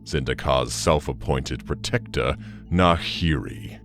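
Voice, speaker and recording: An actor playing an ancient, bitter demon conqueror in an audio drama, brooding and contemptuous in a menacing monologue. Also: male voice, very deep voice, vocal fry, music in the background, exaggerated voice. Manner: slow, menacing, brooding delivery.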